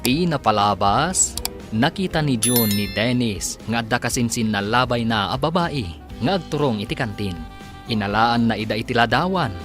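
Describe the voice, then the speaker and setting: A man with an Ilocano accent reading scripted dramatic narration as a radio drama announcer. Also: medium pitch. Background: music bed.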